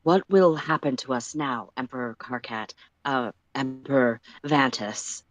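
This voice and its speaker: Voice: medium pitch, unhurried, no echo, lightly acted. A narrator voicing a nervous, deferential alien man who speaks formally and hesitantly in a read-aloud story. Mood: anxious. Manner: formal, hesitant, self-correcting.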